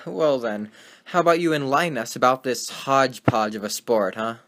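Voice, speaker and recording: A man's voice: mid-range voice, normal speed, a bit bright, natural voice. A man playing a skeptical, wisecracking 1920s radio sports host, with folksy period slang and a sardonic manner.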